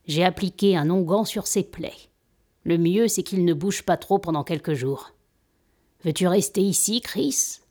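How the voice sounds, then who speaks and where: A woman playing a kindly old master and healer in an audio drama, attentive and gently authoritative. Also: on the low side, unhurried. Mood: welcoming, caring.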